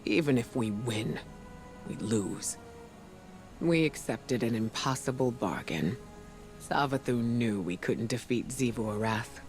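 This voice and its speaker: An actor playing a weary, dutiful middle-aged military commander in a video game, speaking in a grave, measured, troubled way. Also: male voice, mid-range voice, natural voice. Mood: grave, worried. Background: music.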